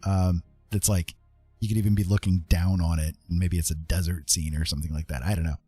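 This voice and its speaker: A man with a fairly low voice talks casually through an art lesson. He thinks aloud as he goes, rambling informally and often tagging questions onto his sentences. Music plays behind him. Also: fairly even intonation, fairly quick, dark tone, breathy edge. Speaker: art tutorial host.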